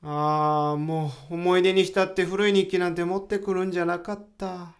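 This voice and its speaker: Man playing a high-voiced, flustered young would-be novelist. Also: normal speed. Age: young adult. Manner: excitable, flustered, complaining. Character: earnest, easily flustered young male aspiring mystery novelist. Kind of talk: radio drama acting.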